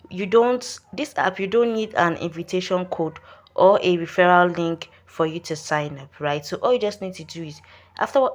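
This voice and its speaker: A Nigerian woman talking viewers through an app step by step, repeating her warnings with insistent emphasis. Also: on the low side. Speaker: online earning tutorial host. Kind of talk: step-by-step app tutorial voice-over.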